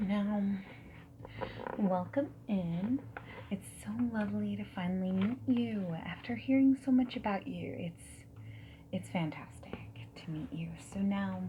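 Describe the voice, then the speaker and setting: A woman playing a friendly, whimsical dating-service consultant in a gentle ASMR roleplay, soft-spoken and breathy, often slipping into a whisper. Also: medium pitch, highs cut off, lightly acted. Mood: welcoming. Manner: gentle, reassuring, chatty, unhurried. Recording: faint background hiss, keyboard typing. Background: mechanical keyboard typing.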